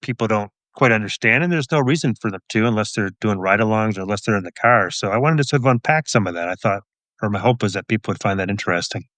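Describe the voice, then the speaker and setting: A middle-aged man with a low voice answers casually as a guest on a podcast. His manner is modest and understated, with a dryly humorous edge. The recording has very little room sound. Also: expressive, quick pace, a bit bright, no echo. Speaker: podcast guest, police officer and author.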